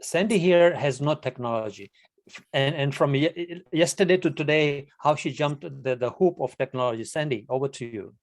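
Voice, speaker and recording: A host moderating a virtual meeting who brings people in to speak, lively and encouraging. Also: mid-range voice, normal speed. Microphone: video call.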